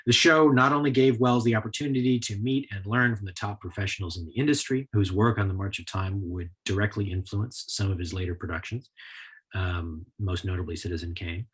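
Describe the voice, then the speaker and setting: Guy giving a history talk, lowish raspy voice, measured explaining. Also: poor audio. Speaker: author giving a history lecture.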